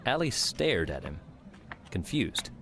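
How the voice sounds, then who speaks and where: Deep-voiced male audiobook narrator. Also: sing-song, slow, a bit bright, a little hiss or hum. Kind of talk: scripted fantasy story narration.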